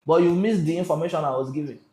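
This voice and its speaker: A man with a Nigerian accent hosting a livestream, chatting to viewers in a lively way and slipping into pidgin. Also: fairly high pitch, quick pace, echoey room, low-quality recording. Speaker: live stream host.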